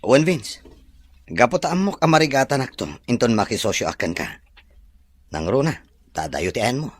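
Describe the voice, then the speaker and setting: A man's voice: medium pitch, animated, normal speed, husky. A man playing a humble, earnest young suitor in a romantic Ilocano audio drama. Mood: apologetic, firm.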